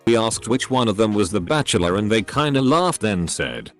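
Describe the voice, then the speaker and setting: A man's voice: on the low side, lively intonation, normal speed, faint music behind. A man reading out online stories as a scripted narration.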